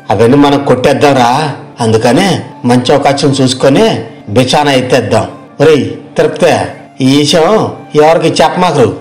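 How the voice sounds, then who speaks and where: A man's voice: mid-range voice, a little mellow, lightly acted. A man playing a resentful tea-stall worker in a moral story cartoon, talking in a rustic, conspiratorial way. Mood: secretive.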